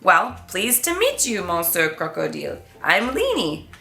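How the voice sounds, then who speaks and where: A woman's voice: on the low side, huge pitch swings, unhurried, creaky, bright, forceful tone, roomy sound, faint music behind, full character voice. A woman voicing a chatty, curious little girl kitten in a children's storybook, bubbly and full of amazement. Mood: amused, amazed.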